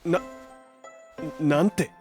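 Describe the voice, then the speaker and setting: A man playing a hot-headed, flustered young hero with a soft heart in a Japanese superhero audio drama, brash and slangy in delivery. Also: very slow, faint music behind. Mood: exasperated, flustered. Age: young adult.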